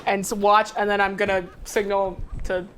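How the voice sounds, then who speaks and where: A woman playing a tabletop game, casually and haltingly thinking her moves out loud. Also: mid-range voice, little pitch movement, noisy background.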